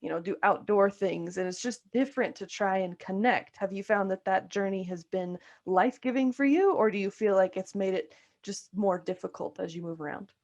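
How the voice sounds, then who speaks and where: An American woman from New Mexico hosts a casual interview. She sounds upbeat and enthusiastic, with a middle-pitched voice and an easy, chatty flow. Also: normal speed, darkish tone. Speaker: host, coach.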